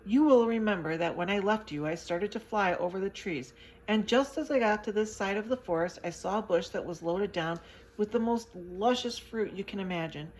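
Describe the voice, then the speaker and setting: A woman's voice: medium pitch, moderate pace, a little mellow, pitched above the performer's own voice, natural voice, low-quality recording. A woman voicing a tiny, friendly flying creature who has shrunk, telling its story chattily. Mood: rueful.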